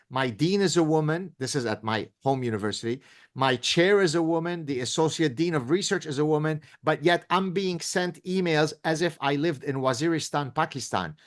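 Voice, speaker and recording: A man in his fifties, a professor guest in an interview, talking in an emphatic, sarcastic, lecturing way. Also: on the high side. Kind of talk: interview conversation.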